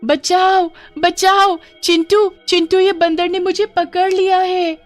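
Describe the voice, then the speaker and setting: A woman's voice: extremely high pitch, little pitch movement, takes it slowly, soft background music. A woman voicing a young girl cousin in a children's horror cartoon. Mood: panicked. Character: young girl cousin, sweet, later slyly possessed.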